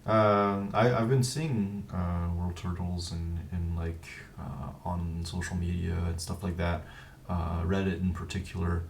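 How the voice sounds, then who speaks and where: A man hosting a casual interview in a halting, loose way, repeating words as he searches for them. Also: low-pitched, fairly even intonation, vocal fry, distant mic, a little hiss or hum. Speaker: interviewer, host.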